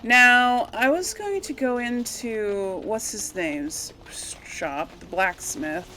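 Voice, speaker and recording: A woman's voice: fairly high pitch, slow pace, sharp tone, slight background noise. A woman giving casual, rambling game commentary, absent-minded and thinking aloud as she plays. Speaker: gamer hosting a role-play playthrough series.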